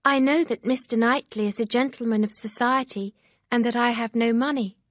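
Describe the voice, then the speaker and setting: A woman reading an audiobook, voicing an excitable, naive young woman who talks in a bright, eager rush. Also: fairly high pitch, fairly quick, dry recording, studio quality, pitched above the performer's own voice, close to an everyday voice.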